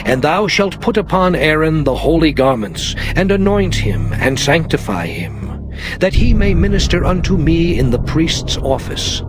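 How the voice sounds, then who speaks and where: An actor playing God as a solemn, commanding divine male voice, giving measured instructions in a dramatized scripture reading. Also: on the high side, sing-song. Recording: voice under loud background music. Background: loud music.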